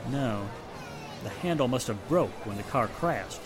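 An actor playing a matter-of-fact, assertive police inspector in the style of a 1940s radio mystery. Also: male voice, mid-range voice, expressive, a little slow, crowd noise, close to an everyday voice. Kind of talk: 1940s radio drama acting. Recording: music and street sounds behind the voice.

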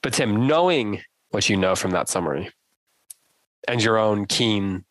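A man's voice: fairly low pitch, animated, unhurried, a bit bright, no room sound, poor audio. A man hosting a casual book-club podcast, playfully guiding the talk with prompts and questions. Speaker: podcast host.

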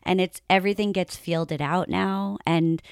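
A woman's voice: fairly low pitch, steady tone, unhurried, creaky, clear, resonant tone, no room sound. Chatty woman host, dry close sound. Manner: chatty, laughs often, many fillers. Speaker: podcast host. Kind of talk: casual interview chat.

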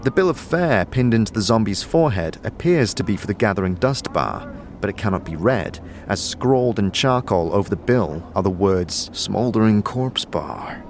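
A man reading scripted audio drama narration in a measured, descriptive storytelling way. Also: on the low side, animated, slight background noise.

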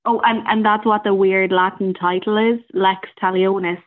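A woman's voice: medium pitch, fairly even intonation, moderate pace. A woman, a theatre director, talking conversationally as an interview guest over a phone-like line. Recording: narrow, thin phone-like line.

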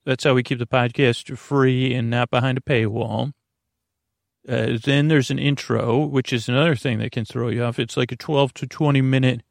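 Creaky-voiced man rambling through a sleep podcast. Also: mid-range voice, fairly even intonation, no echo, studio quality. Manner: meandering, halting, goofy, self-deprecating. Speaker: podcast host.

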